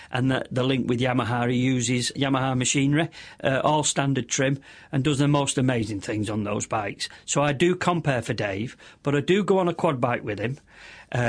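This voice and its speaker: A middle-aged man with a squeaky voice, a radio interview guest who rambles through long stories with many restarts and self-deprecating asides. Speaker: radio interview guest, motorcycle stunt show compere.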